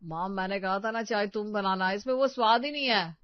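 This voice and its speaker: A woman's voice: medium pitch, a little fast, no echo, muffled recording, natural voice. A woman voicing a young married son who complains about the food. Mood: complaining.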